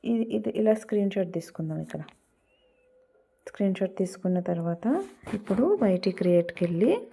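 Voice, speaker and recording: A woman's voice: mid-range voice, animated, brisk, creaky, mellow, husky. A woman hosting a casual step-by-step tutorial, mixing in English words. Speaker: tutorial host.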